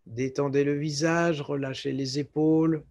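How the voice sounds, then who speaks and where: Male meditation guide, lightly raised pitch, very unhurried, calm and measured instruction. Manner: calm, measured, pausing between short phrases. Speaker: yoga nidra teacher guiding relaxation.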